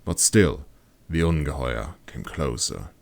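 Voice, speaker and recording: A man reading scripted fantasy audiobook narration in a dramatic, deliberate storytelling manner. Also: rumbling low, lively intonation, creaky, a little mellow.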